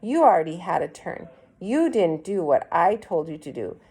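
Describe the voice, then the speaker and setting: A woman's voice: fairly low pitch, sing-song, normal speed, a little mellow, slightly rough, full character voice. A woman voicing a firm, matter-of-fact businessman in a storybook, a little dismissive, in an everyday voice. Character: matter-of-fact adman refusing a small child.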